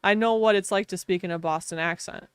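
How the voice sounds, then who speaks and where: Wisconsin girl streaming her game, chatty, laughs a lot, smooth clear voice, dry close sound. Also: mid-range voice, fast, dry recording. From Wisconsin, USA. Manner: chatty, laughs often, lots of "like". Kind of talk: casual livestream chat.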